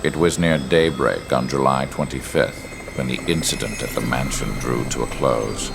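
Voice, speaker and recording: Very deep, gravelly villain. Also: male voice, steady tone, music in the background. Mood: cold, gloating. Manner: measured, menacing, self-important. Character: cold, superhuman male villain, gloating over rebirth. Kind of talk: scripted cutscene narration.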